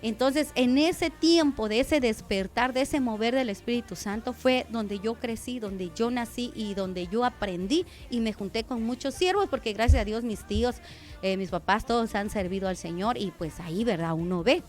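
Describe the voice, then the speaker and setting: A woman's voice: a little high, normal speed, a little mellow, faint music behind. A woman pastor giving a conversational radio sermon, often addressing listeners as brother.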